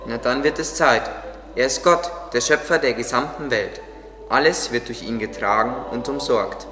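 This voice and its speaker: A man's voice: mid-range voice, moderate pace, natural voice. A man playing a kindly, devout gardener who explains things earnestly in an audio drama. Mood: devout, teaching. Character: devout, kindly male gardener, patient teacher. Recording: echoey, long reverb, under music. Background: music.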